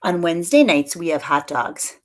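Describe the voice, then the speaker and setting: A woman with a slightly low voice reads a picture book aloud. She tells the story expressively, as a teacher would to young pupils, lightly acting out the dialogue. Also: expressive, normal speed.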